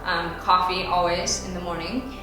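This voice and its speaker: A woman's voice: on the low side, normal speed. A young American woman, a ballet dancer, answering viewer questions in a chatty, upbeat way with lots of casual fillers. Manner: chatty and upbeat, with frequent "like" and "you know" fillers. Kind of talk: casual Q and A vlog. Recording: very echoey room, distant mic, music behind.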